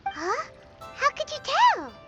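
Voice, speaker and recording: Squeaky, cheeky little fairy. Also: female voice, wildly swooping pitch, slow, loud music behind the voice, exaggerated voice. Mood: perky, curious. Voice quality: squeaky, childlike. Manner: exclamatory, cheeky, speaks of self in third person. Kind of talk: animated video game voice acting.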